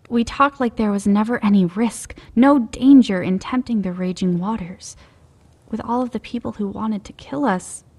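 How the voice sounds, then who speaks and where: A troubled woman survivor narrates in a husky, medium-pitched voice. She is thoughtful and measured, as in a serious radio drama. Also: darkish tone. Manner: reflective, measured.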